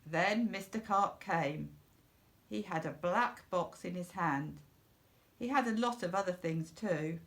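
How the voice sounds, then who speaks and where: A woman narrates a children's storybook at a slow, deliberate pace. Her voice has a noticeable creak, and she stresses phrases expressively for young listeners. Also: on the low side. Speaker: storybook reader.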